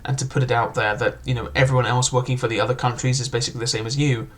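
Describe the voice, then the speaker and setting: A man's voice: mid-range voice, little pitch movement, rapid-fire. A young man casually reviewing a book, chatty and loose, with frequent filler words and restarts.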